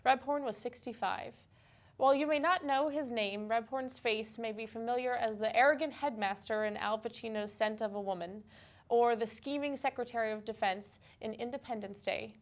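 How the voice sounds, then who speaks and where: A woman's voice: fairly high pitch, normal speed. A woman co-anchoring a news segment, switching between scripted reading and casual chat.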